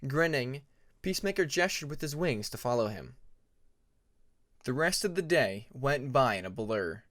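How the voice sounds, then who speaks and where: A man reading a fantasy story aloud as scripted narration, in a measured storybook manner. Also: mid-range voice, animated, a bit bright. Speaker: fan fiction audiobook narrator.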